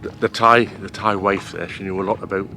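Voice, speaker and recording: Older guy with a deep voice rambling through a travel vlog on a pier, dry jokes, music playing under him. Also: steady tone, brisk, sharp tone. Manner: chatty, wry asides. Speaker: travel vlogger. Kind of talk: casual travel vlog narration. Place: outdoors on a long pier.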